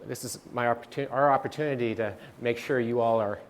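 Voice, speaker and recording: A man presenting slides at a training session, speaking haltingly with many fillers and restarts. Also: mid-range voice, steady tone, a little hiss or hum.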